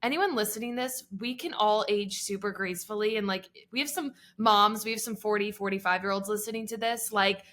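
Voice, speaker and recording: A woman's voice: medium pitch, a little fast, few pauses, a bit bright. Upbeat woman in her late twenties, podcast host. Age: 20s. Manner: upbeat, emphatic, opinionated. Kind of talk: casual chat.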